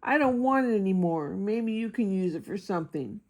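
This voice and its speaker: A woman's voice: medium pitch, lively intonation, moderate pace, lightly acted. A woman voicing a sensitive young girl monster who loves ballet, earnest in manner, in a children's picture book. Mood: sad, hurt. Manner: instructing, earnest.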